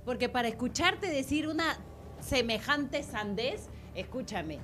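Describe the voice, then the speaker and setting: Peruvian woman on a politics show, slightly high voice, earnest n emphatic, faint noise behind. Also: animated, a little slow, fairly bright tone. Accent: Peruvian Spanish. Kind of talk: casual political discussion.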